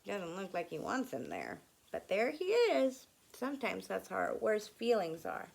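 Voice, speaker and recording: A woman's voice: mid-range voice, huge pitch swings, measured pace, vocal fry. A woman reading a children's story aloud in a dramatic, emphatic, teacherly way, with explanatory asides. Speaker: read-aloud host.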